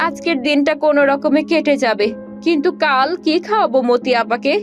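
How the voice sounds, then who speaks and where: A woman's voice: sits high, brisk, music in the background, lightly acted. A woman playing a caring, worried wife from a poor village household in a cartoon folk tale, phrasing things carefully.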